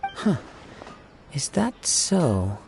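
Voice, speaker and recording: Calm, courteous young wanderer. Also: male voice, on the high side, extremely slow, gravelly, full character voice. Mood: pensive. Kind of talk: anime game dialogue. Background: music.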